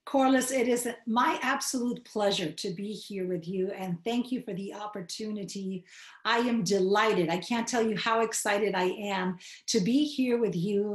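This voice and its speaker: A woman from Queens in New York City, talking as a guest in a measured, explanatory way, slowing down to lay out each step. Her voice sits at an ordinary middle pitch, and the room around her rings with a noticeable echo. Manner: measured, explanatory, slows for key points. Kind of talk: reflective interview answers. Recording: roomy echo.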